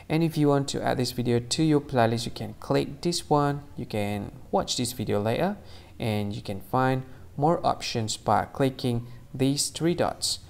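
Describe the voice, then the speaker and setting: Gravelly man explaining in simple words. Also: medium pitch, a little mellow. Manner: repetitive, simple wording, slightly halting. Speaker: quiz answer channel host. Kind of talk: plain scripted explainer.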